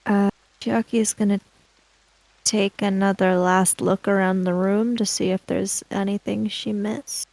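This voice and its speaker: A woman speaks slowly and tentatively as she plays a tabletop game. Her voice is mellow with plenty of fry, recorded dry and close. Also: mid-range voice, flat delivery, dry recording, poor audio. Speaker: tabletop role-playing player. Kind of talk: improvised in-game talk and narration.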